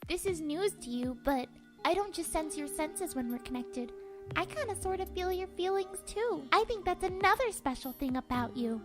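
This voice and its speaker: A woman's voice: extremely high pitch, expressive, normal speed, over music. A woman voicing a childlike, affectionate and wistful book spirit in a comic dub, chatting in a tender, cutesy and playful way.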